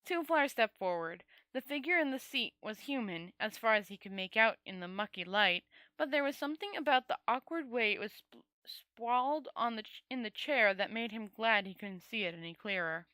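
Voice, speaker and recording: A woman's voice: a little high, animated, moderate pace, pushed and bright, dry recording. A woman reading a fantasy novel aloud informally, stumbling over words and correcting herself. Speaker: story reader.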